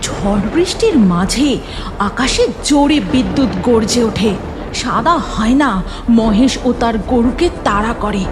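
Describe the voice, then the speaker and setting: Casting: female cartoon narrator, high voice, unhurried and dramatic, expressive range. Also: noisy background.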